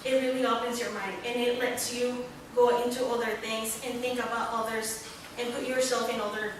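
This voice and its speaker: Student panelist, young Mexican woman, earnest and halting, recorded far off with audible room noise. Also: a little high, level tone. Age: young adult. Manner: earnest, searching for words with many pauses. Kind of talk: panel talk at a book launch. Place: large echoey library hall. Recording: echoey hall, distant, room noise.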